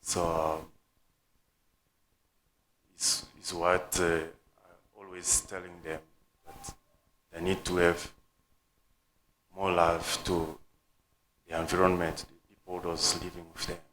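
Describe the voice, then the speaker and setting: Slow, deep Congolese man searching for words. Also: monotone, a bit bright, a little hiss or hum, rough recording. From Democratic Republic of the Congo. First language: French. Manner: halting, searching for words, many fillers. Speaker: coach, panel guest. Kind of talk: panel discussion answers.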